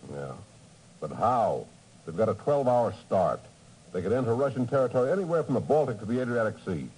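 An actor playing a concerned intelligence chief in a 1950s radio drama. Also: male voice, medium pitch, sing-song, brisk, a little mellow, lightly acted. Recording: noisy vintage 1950s radio broadcast.

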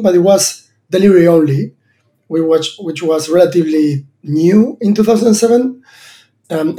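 A man's voice: sits high, warm tone. A man in his thirties from Argentina, a startup founder answering interview questions thoughtfully, with many fillers and restarts. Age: 30s.

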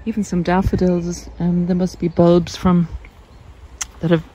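Low-pitched Irish woman, chatting casually. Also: flat delivery, a little slow, warm tone, a little hiss or hum, rough recording. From Ireland. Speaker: video host, narcissism coach. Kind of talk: casual chat to camera while walking. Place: outdoors on a country walk.

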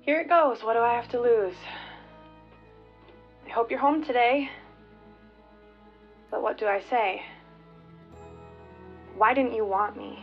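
Halting, nervous young woman. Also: fairly high pitch, highs cut off. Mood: anxious. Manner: hesitant, halting, self-correcting. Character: nervous, yearning young adopted daughter. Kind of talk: radio play monologue.

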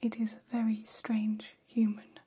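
A woman voicing a gentle, curious female alien in an ASMR roleplay, whispering softly in formal, halting, literal phrases. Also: fairly high pitch, monotone, exaggerated voice. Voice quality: soft, breathy whisper. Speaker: ASMR performer.

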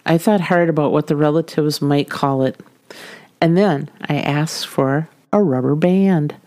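A woman's voice: low-pitched, unhurried, warm tone, no room sound, studio quality. A middle-aged woman from Minnesota with a light Minnesota accent, hosting a podcast and reading a wry, homey personal story. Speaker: podcast host. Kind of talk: scripted storytelling narration.

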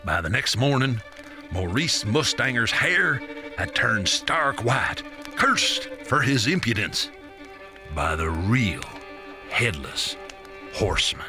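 An actor playing a folksy American frontier storyteller spinning ghost tales theatrically in a radio drama, repeating words for suspense. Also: male voice, medium pitch, sing-song, slow pace, music in the background. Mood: ominous. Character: American frontier yarn-spinner telling tall ghost stories.

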